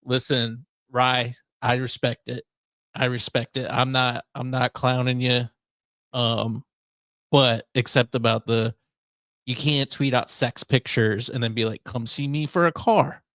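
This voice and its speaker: A man's voice: mid-range voice, level tone, unhurried, a bit bright, no room sound. Easygoing male talk-radio host, dry sound. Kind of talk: casual talk radio chat.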